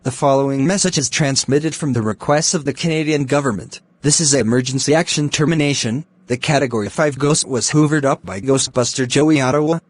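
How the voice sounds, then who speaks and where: A man's voice: medium pitch, fairly quick, few pauses. A man delivering a scripted mock emergency broadcast as a formal, stilted alert announcer.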